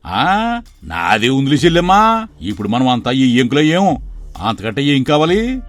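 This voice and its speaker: A man voicing a scheming middle-aged uncle in an animated story, sly and wheedling. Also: mid-range voice, moderate pace, no room sound, natural voice. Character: scheming middle-aged man plotting to seize his sister's estate.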